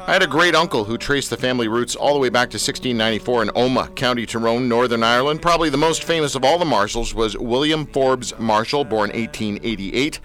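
A man's voice: medium pitch, quick pace, few pauses, fairly bright tone. A man hosting a casual interview show, joking and teasing his guest. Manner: jokey, teasing banter. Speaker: radio show host.

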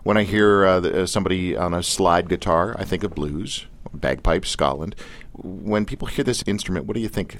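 A man's voice: low voice, normal speed. A man hosting a live radio interview, chatting informally and musing aloud with rhetorical questions. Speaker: radio show host and interviewer. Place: radio studio. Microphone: studio broadcast microphone.

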